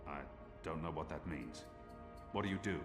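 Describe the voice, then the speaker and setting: An actor voicing a calm, guarded former male apostle who speaks tersely. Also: rumbling low, normal speed. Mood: blunt. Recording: roomy game audio with music.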